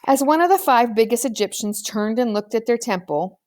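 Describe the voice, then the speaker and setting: A woman's voice: on the high side, animated, normal speed, darkish tone, dry recording, studio quality. A woman reading a children's novel aloud as scripted storybook narration. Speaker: audiobook reader.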